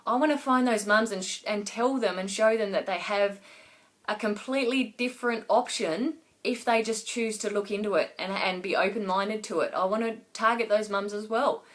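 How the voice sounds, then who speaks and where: Woman, business coach on a live stream, chatty and rambling, slightly hoarse from a cough. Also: mid-range voice, moderate pace. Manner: rambling, many fillers and restarts. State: losing her voice with a cough. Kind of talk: casual Facebook Live talk to viewers.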